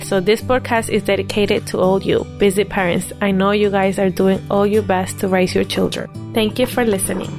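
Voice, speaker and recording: A woman hosting a parenting podcast, her voice sitting at an everyday middle pitch. She asks her questions eagerly and reacts fast to her guest's answers. Faint music plays behind her. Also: flat delivery. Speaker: podcast host.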